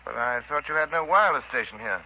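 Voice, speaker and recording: Polished, knowing sleuth, played by a man, measured manner, vintage radio mystery, dull sound with faint hiss. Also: medium pitch, animated, natural voice. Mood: polite. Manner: urbane, composed. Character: suave, thoughtful gentleman sleuth who believes in the uncanny. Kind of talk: 1930s radio drama acting. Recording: muffled, hissy 1930s radio broadcast.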